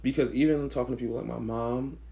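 A hoarse young man with a raspy voice, co-hosting a podcast in slangy casual chat. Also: mid-range voice, fast talker, a little hiss or hum. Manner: relaxed, slangy, thinks aloud with fillers. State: hoarse, losing his voice. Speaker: podcast co-host.

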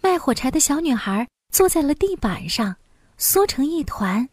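High-voiced woman narrating a children's fairy tale. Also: normal speed, dry recording, clean studio sound. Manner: expressive, clearly paced storytelling for young children. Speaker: storyteller.

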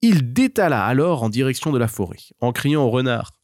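A man's voice: medium pitch, sing-song, fairly quick, a little mellow, dry recording, studio quality. A man narrating a scripted children's tale as a storyteller.

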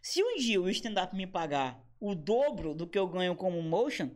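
A man in his thirties, a comedian and motion designer, chatting casually in a slangy, animated way with quoted asides. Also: high-pitched, moderate pace. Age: 30s. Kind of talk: casual podcast conversation.

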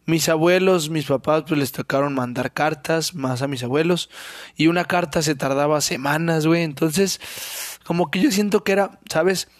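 A young Mexican man rambles through a solo podcast with a sore, hoarse throat, clearing it again and again. His voice sits a little high for a man, and he curses casually. The sound is dry and close, with no room around it. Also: moderate pace, no room sound. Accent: Mexican Spanish. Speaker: podcast host.

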